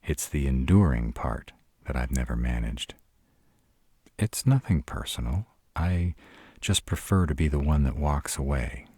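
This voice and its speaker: A man acts out a brooding, sarcastic vampire with an extremely low, dark voice. He talks slowly, proud and guarded, in the intimate manner of a roleplay audio drama, with a sardonic edge over a soft spot. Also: animated, slightly rough, dry recording, studio quality, exaggerated voice. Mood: wry, guarded. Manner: sardonic, exasperated, halting when vulnerable.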